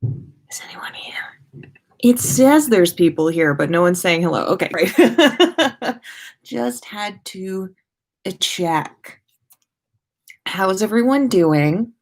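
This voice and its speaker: A woman's voice: mid-range voice, animated, slow pace, long pauses. An American woman hosting a casual livestream from her room, rambling informally and playfully, sounding tired. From United States. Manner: informal, rambling, playful with sing-song asides. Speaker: podcast host livestreaming. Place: own room at home.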